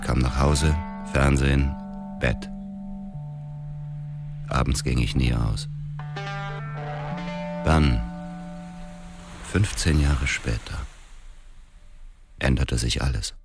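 A grieving middle-aged widower tells his story in a radio drama. The voice is extremely low, sombre and restrained, bitter in short terse phrases, with music underneath. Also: steady tone, takes it slowly, pauses often. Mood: sombre, numb. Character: embittered, grieving middle-aged widower, a man emptied by loss. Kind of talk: scripted radio drama narration.

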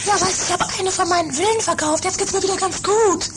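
A shrill, affected rich lady. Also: fast talker, background noise. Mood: pleased. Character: affected, money-minded rich lady being courted. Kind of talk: improvised trashy 1980s comedy audio drama.

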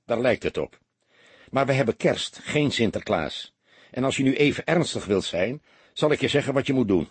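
A man voicing an impatient, commanding police detective in a storybook, firing off brisk orders and probing questions. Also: medium pitch, expressive, breathy edge, no echo. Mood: teasing, amused. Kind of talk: audiobook character dialogue.

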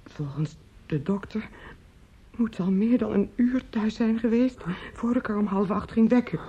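Anguished woman, dark muffled old recording. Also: mid-range voice, breathy edge. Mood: grave, distressed. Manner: theatrical, halting with repetitions, pleading. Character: anguished, talkative older female relative breaking terrible news. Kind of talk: Dutch radio play acting. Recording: muffled, noisy old radio play recording.

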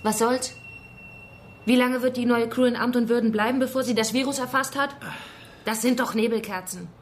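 A woman's voice: fairly high pitch, fairly even intonation, moderate pace, a little hiss or hum, lightly acted. An actress playing a combative, scornful and driven woman activist in a German radio drama. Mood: insistent. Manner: combative, sarcastic.

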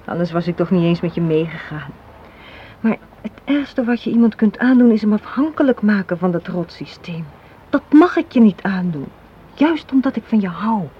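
A woman's voice: mid-range voice, lively intonation, normal speed, natural voice. Radio play, muffled with some hiss: woman playing a young, hard-minded cynic who lectures and challenges with pointed questions. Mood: torn, firm. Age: young adult. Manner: argumentative, lecturing, rhetorical questions. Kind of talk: Dutch radio play acting. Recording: dull, noisy old radio recording.

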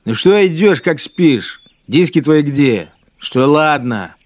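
An actor playing a bitter, spiteful wartime machine-gunner in a radio drama, grumbling with sardonic scorn. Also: male voice, on the high side, lively intonation, takes it slowly, dry recording. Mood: angry scolding. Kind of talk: radio drama interior monologue.

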